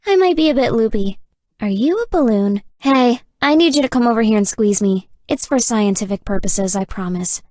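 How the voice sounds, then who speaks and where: A woman voicing a dry, deadpan, nerdy young gamer girlfriend in a dating game. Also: on the high side, moderate pace, dry recording, natural voice. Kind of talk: scripted dating game character dialogue.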